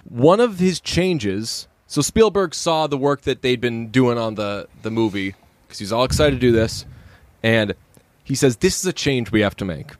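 A man's voice: medium pitch, animated, moderate pace, dry recording. Rambling male podcast co-host, casual chat, dry close sound. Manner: rambling, full of fillers, puts on silly voices in quotes.

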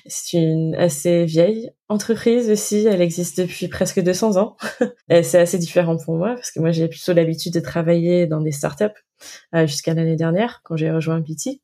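A woman explains her job in an interview, hesitating often on fillers. Her voice sits low for a woman. It sounds warm and mellow. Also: normal speed. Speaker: research operations manager. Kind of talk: interview answer.

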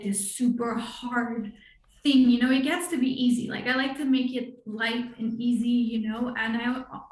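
A woman coach talks breezily and upbeat, leaning on casual fillers. Her voice sits a little high. The recording carries a noticeable room echo. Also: darkish tone. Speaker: manifestation coach, guest. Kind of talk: conversational interview.

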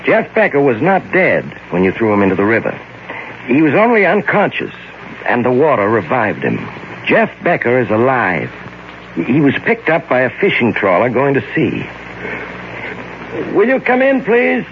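An actor in a 1940s-style radio drama playing a composed, paternal psychiatrist, speaking in a measured, formal, soothing way. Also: male voice, medium pitch, huge pitch swings, a little hiss or hum. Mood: calm, reassuring. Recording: dull old radio recording, faint music.

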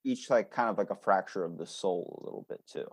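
A man's voice: deep voice, a little fast, husky, no room sound, studio quality. A man hosting a podcast, talking in an informal, earnest, rambling and introspective way. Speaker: podcast host. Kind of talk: casual, introspective podcast chat.

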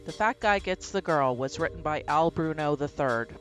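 A woman's voice: sits low, animated, moderate pace. Woman reading podcast credits over music. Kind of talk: scripted credits read.

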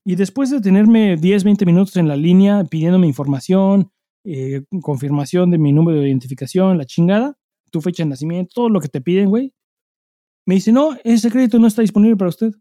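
Mellow, soft-toned Mexican man chatting. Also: sits high, fairly quick, no echo, clean studio sound. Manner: informal, profanity-laden, acts out dialogue while telling anecdotes. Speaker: podcast co-host. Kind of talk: casual chat with slangy storytelling.

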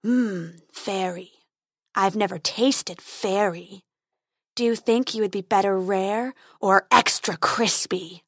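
A woman voicing a huge, menacing male dragon who speaks in slow, booming, drawn-out lines. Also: no echo, studio quality. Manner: booming, drawn-out, talks of eating people.

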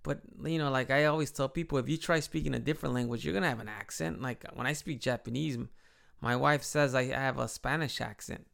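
A man's voice: mid-range voice, a little fast, gravelly, fairly bright tone. A man in his thirties from Chicago with a Midwest American accent and a slight Mexican tinge, chatting casually and rambling in a personal vlog. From Chicago, United States. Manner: rambling, chatty, frequent restarts. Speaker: vlogger living in Japan.

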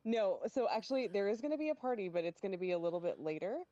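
A woman's voice: medium pitch, expressive, rapid-fire, dark tone, no echo. A woman on a phone call, chatty and laughing easily. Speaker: caller on speakerphone. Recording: dull dry phone call.

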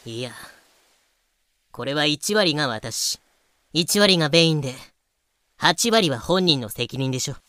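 A woman's voice: deep voice, animated, measured pace, pauses often, bright, forceful tone, no room sound, heavily acted. A woman playing a haughty, blunt female pirate in a fan-made audio drama. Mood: dryly dismissive. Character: cool, scornful female pirate, dryly dismissive.

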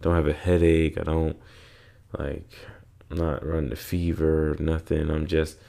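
Stuffed-up young guy podcasting solo, super low voice, rambly, lots of um and like. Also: monotone, a little slow, vocal fry, warm tone. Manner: rambling, many fillers and restarts. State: congested, sore throat. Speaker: podcast host. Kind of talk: casual solo podcast chat.

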